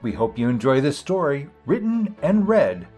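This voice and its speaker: A man's voice: mid-range voice, big pitch swings, normal speed, warm tone, faint music behind. A man reading a scripted introduction as the announcer of a story series.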